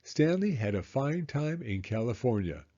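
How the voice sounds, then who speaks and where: Male read-aloud narrator for children's books: very animated pitch, soft-edged tone, scripted and expressive. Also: moderate pace, highs cut off. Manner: expressive, acts out dialogue. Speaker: storyteller reading a children's book aloud.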